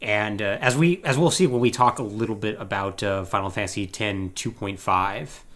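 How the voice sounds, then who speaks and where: A man co-hosting a podcast in casual chat, talking in an animated, emphatic, conversational way. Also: fairly low pitch, moderate pace. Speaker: podcast co-host.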